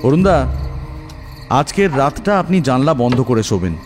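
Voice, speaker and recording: A man's voice: mid-range voice, expressive, moderate pace, faint music behind, voice pushed up, natural voice. A man voicing an earnest younger male housemate in an audio horror story, insistent and reassuring in a friendly way.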